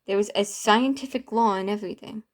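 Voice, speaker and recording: A woman's voice: medium pitch, brisk, lightly acted. A woman voicing a bitter, distraught young man in a story, impassioned and protesting, with stumbles. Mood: upset, breaking down.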